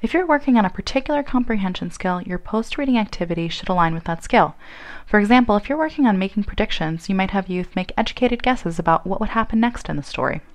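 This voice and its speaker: A woman reading scripted instructional narration in a clear, explanatory way. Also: mid-range voice, expressive, quick pace. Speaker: narrator of a training video.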